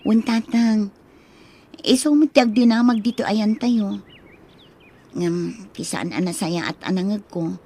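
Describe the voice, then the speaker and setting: A woman's voice: mid-range voice, measured pace, long pauses, darkish tone, breathy edge. A woman with an Ilocano accent playing an earnest, respectful young student mother in a radio drama. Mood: respectful, concerned.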